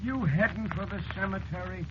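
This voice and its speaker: Casting: a man as odd, eerily unbothered American official. High-pitched, hesitant yet bossy, 1940s radio acting, faintly noisy old recording. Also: a little fast, lo-fi sound, close to an everyday voice. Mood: casual.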